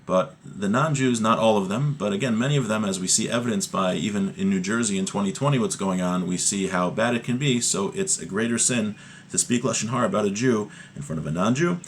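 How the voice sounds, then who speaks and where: A man's voice: on the low side, brisk, slight background noise. A man giving an informal religious lesson, reading from a text and explaining it point by point, often repeating key phrases. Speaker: religious teacher giving a class.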